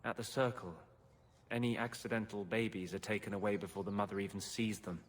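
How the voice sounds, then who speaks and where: An earnest mage from a fantasy game played by a man. His lowish voice is reflective and sombre, ringing in a long reverb. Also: fairly even intonation, brisk, close to an everyday voice. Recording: long reverberant hall echo.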